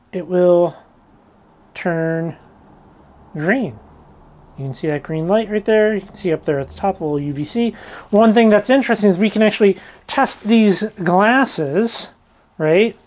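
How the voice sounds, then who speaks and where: A man's voice: high voice, darkish tone. A man in his thirties gives an informal, self-deprecating explainer as a channel host, talking to camera. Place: cluttered indoor workshop. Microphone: lavalier clipped on shirt.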